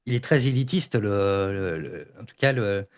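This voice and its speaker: A man's voice: medium pitch, lively intonation, moderate pace. A man giving firm opinions in a casual debate, colloquial and stumbling over repeated words. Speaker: panelist in a debate.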